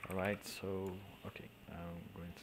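A man with a Portuguese accent, a native Portuguese speaker, explaining digital painting step by step in halting English, often trailing off into quiet mutters as he works. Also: deep voice, little pitch movement, slight background noise. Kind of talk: screen-recorded painting tutorial.

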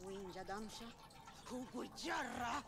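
Slow, high-voiced grave tribeswoman. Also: animated, background noise. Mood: grave, bitter. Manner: slow, solemn, speaking an invented ancient tongue. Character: grave prehistoric tribeswoman in a cave. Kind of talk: video game cutscene acting.

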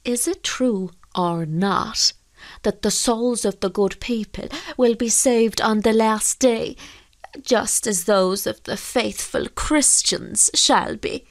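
A woman's voice: a little high, slow pace, no echo. A woman voicing a hot-tempered peasant fisherman in a storybook tale, with folksy, emphatic, exclamatory speech. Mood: earnest. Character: hot-tempered Irish peasant fisherman, grown man, blustering and wheedling. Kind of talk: storybook character voice.

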